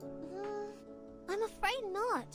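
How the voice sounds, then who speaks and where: A woman's voice: extremely high pitch, slow pace, music in the background. An actress voicing a worried young girl in a game, fretting about deadlines. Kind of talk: game voice acting.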